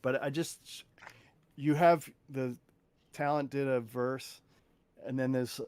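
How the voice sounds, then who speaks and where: A man's voice: medium pitch, slow pace, clean tone, dry recording. A man in his thirties, a guest composer chatting casually and rambling a little as he tells stories, now and then singing snippets. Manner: rambling, animated, sings snippets and acts out quotes. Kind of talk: casual interview chat.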